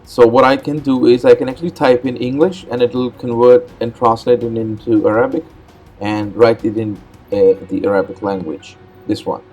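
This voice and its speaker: A man whose first language is Urdu hosts a step-by-step computer tutorial, explaining in a relaxed, plain way with frequent "uh"s and repeated words. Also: mid-range voice. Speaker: host. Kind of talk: step-by-step screen tutorial narration.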